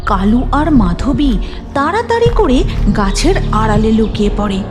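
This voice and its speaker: A woman's voice: on the high side, very animated, normal speed, over music. A woman narrating a scripted horror story for a cartoon in a dramatic storytelling style.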